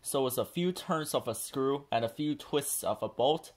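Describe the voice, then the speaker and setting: A man's voice: medium pitch, moderate pace. A man narrating a children's storybook as a read-aloud.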